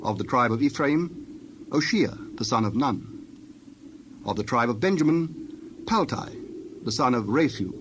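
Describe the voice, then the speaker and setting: A man narrating scripture from a script in a solemn, deliberate storytelling manner. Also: medium pitch, expressive. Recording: clear background noise with birds.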